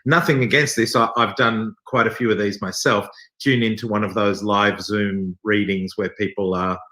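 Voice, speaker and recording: A man's voice: on the low side, steady tone, moderate pace, pushed and bright. An Australian man speaking as an interview guest from a theatre company, explaining things conversationally with hesitant asides. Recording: echoey room sound.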